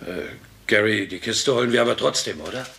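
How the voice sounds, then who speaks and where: An actor playing an easygoing working-class husband and loyal buddy, casual and chummy, in a dubbed German sitcom. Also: male voice, lowish voice, a little fast, gravelly, fairly bright tone. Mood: awkward, supportive.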